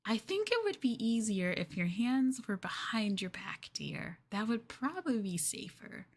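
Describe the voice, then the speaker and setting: A woman voicing a sweetly possessive, obsessive young girlfriend in an ASMR roleplay, coaxing and cooing between soft speech and whispers. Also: a little high, big pitch swings, measured pace, heavily acted. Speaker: ENVtuber streamer performing ASMR roleplay.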